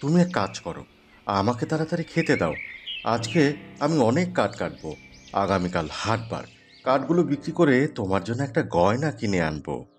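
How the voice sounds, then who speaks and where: A man voicing a devoted, good-hearted village woodcutter in an expressive fairy tale cartoon style. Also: medium pitch, normal speed, soft background music. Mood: affectionate. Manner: earnest, polite, expressive.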